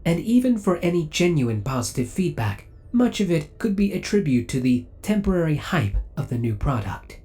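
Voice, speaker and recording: A man's voice: fairly high pitch, very animated, normal speed, few pauses, mellow. A man playing a possessive, coolly obsessive male spaceship AI in an ASMR audio roleplay, speaking with formal, precise, robotic politeness. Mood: formal, disapproving.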